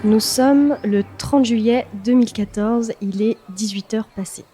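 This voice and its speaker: A woman's voice: a little high, unhurried, dark tone, soft background music. A woman hosting a podcast interview in a conversational style, setting scenes and putting questions to her guest.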